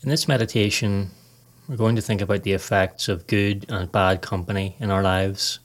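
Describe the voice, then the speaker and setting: A man narrating a scripted guided meditation in a calm, measured, instructional way. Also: fairly low pitch. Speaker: podcast host, meditation guide.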